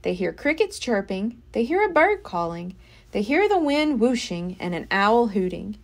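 A woman giving an expressive read-aloud of a children's picture book. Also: medium pitch, normal speed. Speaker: storybook reader.

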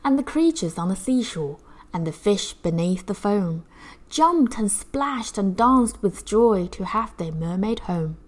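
A woman reads a rhyming picture book to children. Her delivery is theatrical and measured, following the rhythm closely, with highly animated pitch. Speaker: children's story reader.

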